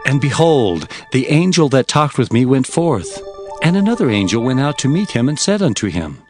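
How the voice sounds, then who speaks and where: An earnest male prophet voiced by an actor tells of his visions with dramatic solemnity. His pitch moves widely from phrase to phrase, over faint music. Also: big pitch swings, moderate pace, darkish tone, natural voice. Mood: awed, narrating. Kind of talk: dramatized scripture narration.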